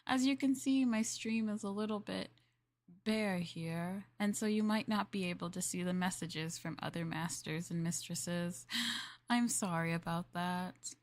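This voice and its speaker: Young woman streamer, maid roleplay, slightly low voice, polite formal phrasing, soft giggly asides. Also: fairly even intonation, a little slow. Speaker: VTuber streamer. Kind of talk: maid roleplay livestream chatting with chat.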